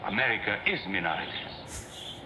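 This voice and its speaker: An actor playing an earnest older professor, speaking in a measured, solemn, preaching cadence in a 1940s educational film. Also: male voice, on the low side, very animated, background noise. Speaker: lecturing speaker in a wartime film. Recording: roomy muffled old film soundtrack with music.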